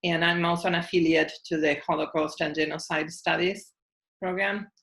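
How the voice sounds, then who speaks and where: A woman guest professor talking in a measured way with frequent fillers over a video call. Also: deep voice, fairly even intonation, gravelly, a bit bright. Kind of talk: casual interview talk.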